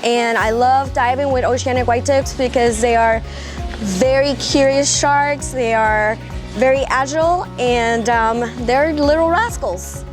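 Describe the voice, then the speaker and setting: A woman scuba diver chats to camera with playful enthusiasm. Her voice sits a little high, and music plays clearly behind her. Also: a little slow. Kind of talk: casual talk to camera.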